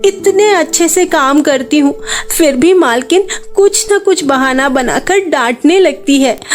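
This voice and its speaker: Plaintive young girl, voiced by a woman, very high pitch, earnest, cartoon storybook character. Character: poor young working girl, plaintive little sister.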